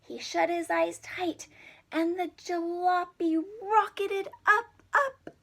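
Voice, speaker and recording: Slow-paced woman reading to young children. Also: extremely high pitch, gravelly. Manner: child-directed, asks listeners questions, expressive. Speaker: preschool storytime teacher. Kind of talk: children's storybook read-aloud and chat.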